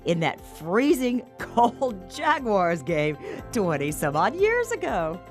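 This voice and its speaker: A woman's voice: mid-range voice, big pitch swings, slow pace, faint music behind. A woman news anchor and reporter telling stories in a chatty, emphatic, conversational way.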